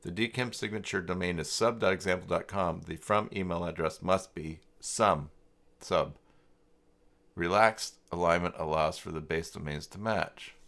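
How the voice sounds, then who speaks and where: Low-voiced man thinking aloud on a livestream. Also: fairly bright tone. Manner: halting, muttering to himself, trailing off. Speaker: livestream host working through website email setup. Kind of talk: casual livestream tutorial, thinking aloud and reading from screen.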